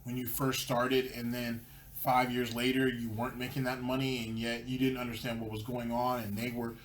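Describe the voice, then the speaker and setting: A man's voice: mid-range voice, level tone, normal speed, slight background noise, low-quality recording. A man hosting a commentary show, ranting sarcastically over clips. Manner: sarcastic, mocking. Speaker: commentary channel host.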